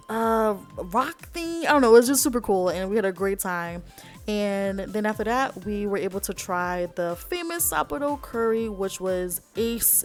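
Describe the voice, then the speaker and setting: A young woman narrating her travel vlog in a chatty, enthusiastic way, full of fillers like "like" and "um". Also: medium pitch. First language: not Japanese. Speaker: vlogger. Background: background music.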